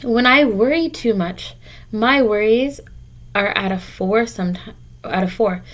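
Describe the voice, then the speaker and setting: Woman, high voice, schoolteacher, children's read-aloud, simple encouraging explanations, asides to young listeners. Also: measured pace. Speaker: teacher reading a picture book aloud to children.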